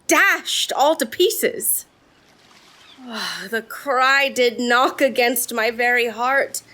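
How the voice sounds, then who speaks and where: Woman as a bright, high-voiced, tender-hearted maiden. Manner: pleading, emotive, declamatory. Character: tender-hearted, distressed young daughter of a sorceress. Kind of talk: Shakespearean audio drama acting.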